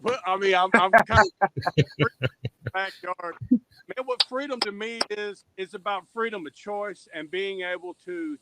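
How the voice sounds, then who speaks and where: A man's voice: high-pitched, a little slow, heavy background noise. A man speaking as a podcast guest, explaining his views earnestly and reflectively, repeating phrases for emphasis. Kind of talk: reflective conversational interview talk.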